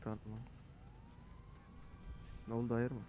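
A man with a creaky voice chatting casually as a fellow player in an online game. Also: medium pitch, monotone, measured pace, highs cut off, music in the background.

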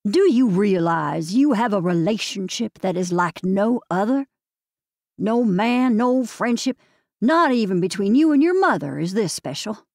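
A woman narrator voicing a gentle, motherly older woman with a slow Southern accent. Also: medium pitch, lively intonation, no room sound, clean studio sound. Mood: earnest. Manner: slow drawl.

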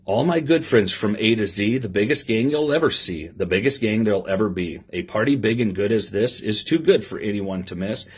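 Expressive man reading a children's story. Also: fairly low pitch, a little fast, hardly any pauses. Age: middle-aged. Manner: expressive sing-song reading, simple instructions. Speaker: police lieutenant reading to children. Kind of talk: read-aloud story time with asides to young listeners. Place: small office, indoors. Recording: clear background noise with clicks.

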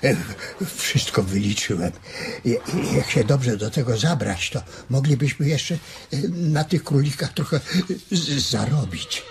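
A man playing a slow, stammering old ranch hand. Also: mid-range voice, lightly acted. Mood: eager. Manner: stammering, hesitant, halting speech. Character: stubborn, aging ranch hand, halting and indignant. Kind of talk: radio drama acting. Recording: noisy radio play recording.

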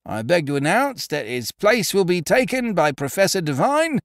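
A man voicing a nervous, pompous male theatre announcer who calls out loudly and drops his H's. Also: big pitch swings, dry recording, clean studio sound. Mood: flustered announcing. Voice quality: loud, high and drawn-out, dropping his H's.

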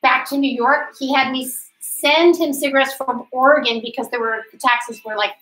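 A woman co-host talking in a lively, teasing way in casual chat at home. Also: high voice, moderate pace. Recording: echoey room.

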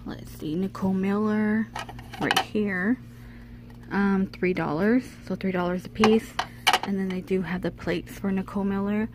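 A woman's voice: medium pitch, fairly even intonation, measured pace, noisy background. A woman chatting casually as she walks through a home goods store, reading out prices and brands on a handheld phone camera. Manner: chatty, reads out prices, small laughs. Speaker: shopping vlogger.

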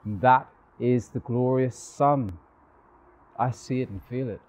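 A man performing a bewildered, exhilarated young Shakespearean gentleman in love, in a declamatory classical stage monologue. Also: medium pitch, lightly acted. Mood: awed, bewildered. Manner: declamatory, deliberate, marveling. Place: outdoors, park bench. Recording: noisy outdoor recording with passing vehicles.

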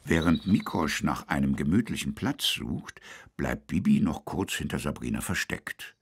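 A man's voice: very deep voice, lively intonation, normal speed, vocal fry, husky, no echo, clean studio sound, full character voice. A man narrating a children's audio drama as a storyteller, reading from a script. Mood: storytelling.

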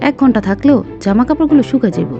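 A woman's voice: high voice, animated, rapid-fire, vocal fry, dull audio, over music. A woman playing a harried, grumbling cartoon mother with a Bangladeshi Bengali accent, complaining in colloquial speech. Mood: fretful grumbling. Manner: complaining, exasperated.

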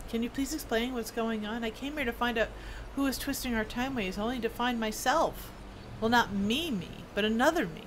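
Musing woman streamer over noisy game sound. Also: a little high. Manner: musing, halting, talking to herself. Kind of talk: casual gameplay commentary, reading quest text aloud. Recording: clear game ambience and noise. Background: video game ambience.

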